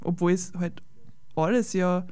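An Austrian woman with a soft Austrian German accent speaks in an easygoing, agreeable way, often repeating words mid-thought. Her voice sits mellow and dark, captured dry and close with no room sound. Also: fairly low pitch, a little slow, no echo. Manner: conversational, agreeable, repeats words as she searches. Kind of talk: casual conversation.

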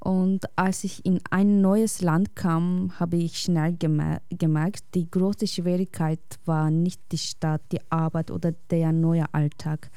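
A woman speaking as a radio guest, reading a prepared personal essay in a calm, reflective and measured way. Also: lowish voice, smooth, no room sound, studio quality.

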